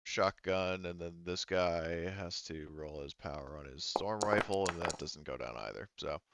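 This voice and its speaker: A man's voice: sits low, measured pace, creaky, a bit bright, slight background noise. A man acting as game master in a tabletop roleplaying game, talking through rulings casually.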